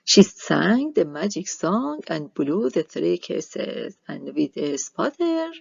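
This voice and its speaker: A woman narrates a children's book expressively. She takes her time and lets some words crackle into fry. The recording is dry and close. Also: lowish voice, sing-song, slow pace, darkish tone, no room sound, lo-fi sound. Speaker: storybook reader.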